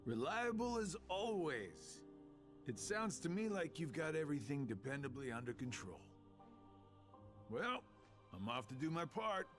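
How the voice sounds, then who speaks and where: An actor playing a sly, laid-back schemer in a video game, with a drawling, sardonic delivery. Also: male voice, fairly high pitch, very animated, measured pace, faint music behind. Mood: wry, amused. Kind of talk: dramatic video game cutscene acting.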